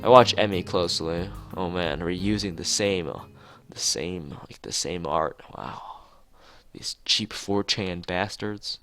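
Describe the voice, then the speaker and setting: A man's voice: low-pitched, takes it slowly, fairly bright tone, clean tone. A man streaming a visual novel, reading the text aloud with casual asides and often stumbling and correcting himself. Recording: music behind the voice. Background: game background music.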